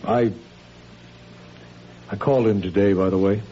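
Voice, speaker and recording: Actor as concerned husband, mellow timbre, measured, placating, radio play, muffled recording. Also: male voice, on the low side, steady tone, a little hiss or hum, close to an everyday voice. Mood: concerned, careful. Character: weary, concerned, patient husband. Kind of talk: radio drama acting. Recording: dull radio-drama recording.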